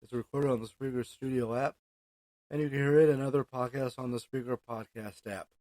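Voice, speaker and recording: An American man hosting an informal solo podcast, speaking in a halting way with frequent hesitations and self-corrections. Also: medium pitch, steady tone, dark tone, no echo, poor audio. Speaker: podcast host. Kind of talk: informal solo podcast monologue with news and sports recap.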